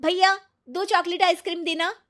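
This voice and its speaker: Female narrator as kind, stubborn young storybook heroine, high lifted voice, dry sound. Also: steady tone, moderate pace, fairly bright tone, no echo. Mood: cheerful. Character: kind, headstrong, independent young woman.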